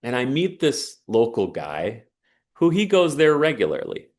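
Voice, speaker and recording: A man's voice: medium pitch, wildly swooping pitch, unhurried, a little mellow. A man giving an earnest, emphatic inspirational talk and telling a personal story. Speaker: speaker on sauna and resilience.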